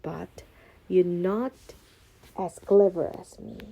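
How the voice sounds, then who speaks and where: Woman voicing a clever, courteous storybook cat, softly mellow. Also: fairly low pitch, fairly even intonation, takes it slowly, vocal fry, heavily acted, poor audio. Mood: teasing. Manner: courteous, coaxing, theatrical. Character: clever, bold male storybook cat, polite and sly.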